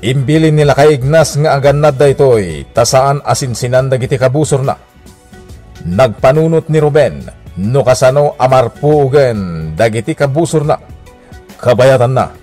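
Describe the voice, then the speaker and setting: A man's voice: medium pitch, expressive, moderate pace, darkish tone, soft background music. A man narrating an Ilocano radio drama from a script.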